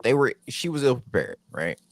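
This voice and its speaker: A man's voice: medium pitch, very animated, moderate pace, no room sound, clean studio sound. A man co-hosting a podcast, talking bluntly with lots of slang in casual chat. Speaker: podcast co-host.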